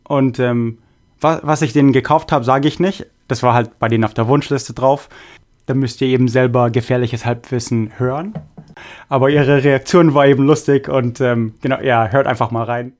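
A man's voice: medium pitch, moderate pace. Casual man hosting a podcast. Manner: relaxed, hesitant with many fillers. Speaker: podcast host. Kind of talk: casual podcast chat reading listener mail.

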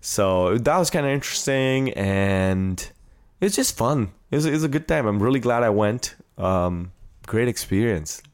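Low-voiced American man, casual podcast host. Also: lively intonation, normal speed, clear, resonant tone. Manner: enthusiastic, informal. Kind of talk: casual chat, recounting a trip.

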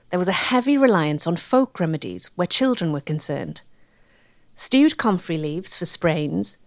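A woman reading scripted documentary narration as a measured storyteller with a wry edge. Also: on the low side, darkish tone, dry recording, clean studio sound.

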